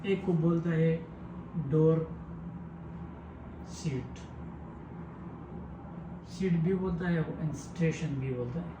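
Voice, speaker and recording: A man giving a step-by-step technical tutorial, explaining simply and repetitively in halting phrases. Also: a little high. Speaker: technical instructor. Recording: echoey room with clear background noise.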